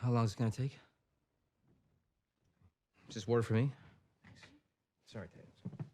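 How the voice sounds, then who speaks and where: A man's voice: mid-range voice, fairly even intonation, unhurried, mellow, slight background noise, lightly acted. An actor playing an arrogant, self-assured male champion racing driver, talking offhand in a documentary-style drama. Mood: offhand, smug.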